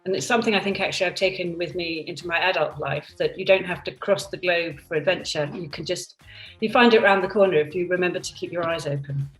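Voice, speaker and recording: A woman in her fifties talks in a reflective, candid way, often restarting her sentences. Her voice sits on the low side. She sounds distant from the computer mic in a roomy space, with faint music playing underneath. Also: quick pace. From Denmark and England. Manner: thoughtful, candid, with frequent restarts. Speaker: product designer interviewed. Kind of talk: reflective conversational interview. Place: home by the seaside. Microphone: computer on a video call.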